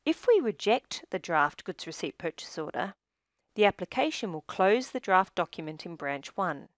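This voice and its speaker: A woman reading scripted software tutorial narration in a measured, instructional manner, recorded as a close-miked voice-over. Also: fairly low pitch, dry recording.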